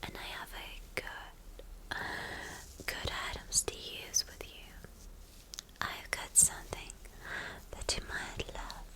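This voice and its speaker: Woman playing a slow-whispering villainess girlfriend. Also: lightly acted, rough recording. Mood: possessive, teasing. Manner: coaxing, domineering, slow and teasing endearments. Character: spoiled, cruel, possessive villainess girlfriend craving fur. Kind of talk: ASMR roleplay, whispered.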